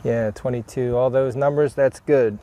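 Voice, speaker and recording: A man's voice: mid-range voice, steady tone, normal speed, warm tone. A man narrating a hands-on DIY job casually as he works, thinking aloud in short, plain, unscripted remarks. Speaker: DIY vlogger demonstrating a vehicle repair. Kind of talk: casual hands-on how-to narration while working.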